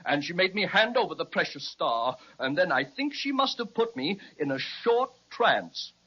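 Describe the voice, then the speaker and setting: A high, bright-voiced dragon fit for a children's radio serial. He is pompous and melodramatic, savoring long words as he boasts and laments. The audio is somewhat dull and lo-fi. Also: big pitch swings, normal speed, slightly rough, heavily acted. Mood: anguished, indignant.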